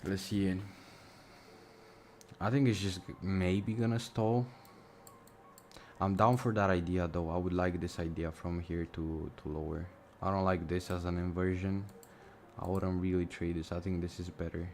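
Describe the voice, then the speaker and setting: A young man with a Romanian accent talks loosely and off the cuff, thinking aloud with the odd curse. His voice sits very low. A faint noise hums behind him. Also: level tone, normal speed, darkish tone. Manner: casual, thinking aloud, swears freely. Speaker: live-streaming trader. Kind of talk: casual live-stream commentary. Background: music and mouse clicks.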